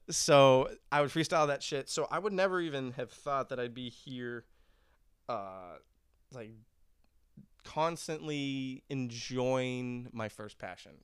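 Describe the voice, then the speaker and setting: A man's voice: mid-range voice, clean tone, no room sound, studio quality. A young man, a musician guest on a podcast, who chats casually in a rambling, laid-back way and laughs often. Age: young adult.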